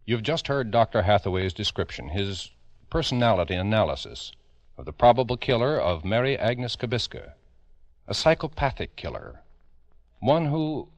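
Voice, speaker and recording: An American man narrating a true crime radio show in a grave, emphatic 1950s style, addressing the listener urgently with dramatic pauses. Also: fairly low pitch, dry recording. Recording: dull vintage 1950s radio recording.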